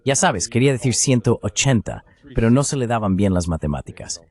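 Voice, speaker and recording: A man's voice: mid-range voice, lively intonation, a little fast, a little mellow. A man reading a translated voice-over of a political commentator in an interview.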